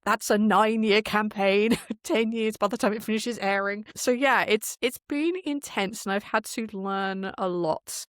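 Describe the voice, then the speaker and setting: A woman's voice: mid-range voice, a little slow, hardly any pauses, no room sound, clean studio sound. A woman talking casually as an interview guest, explaining how audio production works and often voicing imagined quotes as she goes.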